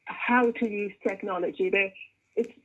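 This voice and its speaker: A woman's voice: mid-range voice, fairly even intonation, normal speed, lo-fi sound. A middle-aged woman from Guyana, a children's author working in tech, answering interview questions earnestly with frequent restarts.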